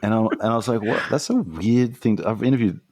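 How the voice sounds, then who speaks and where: A man with a deep voice, a podcaster talking as a guest in a casual, reflective conversation, rambling thoughtfully with many fillers and restarts. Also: quick pace, a little mellow, dry recording. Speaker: podcast guest, podcaster and former radio host.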